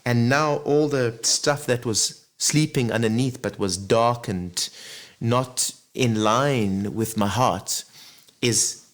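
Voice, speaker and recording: A man speaks at a mid pitch, earnest and reflective. He talks as an interview guest and often adds "you know" as he thinks aloud. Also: slow, hardly any pauses. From Zimbabwe. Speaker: guest singer. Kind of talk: casual interview chat.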